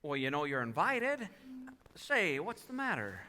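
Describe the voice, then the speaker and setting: A man's voice: on the high side, wildly swooping pitch, unhurried, pushed and bright, exaggerated voice. An actor playing an earnest, flustered small-town family man in a 1940s-style radio play, stammering and repeating himself. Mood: concerned, friendly. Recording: live stage taping in echoey hall.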